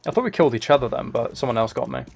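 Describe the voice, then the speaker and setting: Male game streamer, medium pitch, casual and self-deprecating chat, with clear gunfire and clicking behind him. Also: animated, very fast, slight background noise. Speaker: live streamer. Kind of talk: casual live gaming commentary. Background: video game gunfire, clicking.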